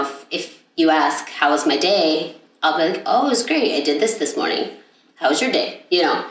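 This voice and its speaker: A young woman talks in a low, deep-set voice, wandering through her thoughts with plenty of fillers and false starts. She sounds set back from the mic, and her voice bounces around a hard, echoey room. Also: distant mic. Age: young adult. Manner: rambling, with many fillers and restarts. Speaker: podcast co-host. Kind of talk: casual chat.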